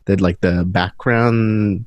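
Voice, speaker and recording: A young man from Guatemala chatting casually as an interview guest, searching for his words in a halting, informal way. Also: deep voice, steady tone, no room sound, dull audio.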